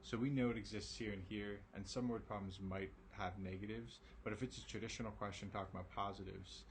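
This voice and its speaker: A man with a low, raspy voice works through an algebra lesson, tired from the late hour. He keeps it casual and reassuring, checking in with lots of ums and okays. A faint noise sits behind him. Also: fairly even intonation, brisk. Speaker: math teacher. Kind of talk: recorded math lesson.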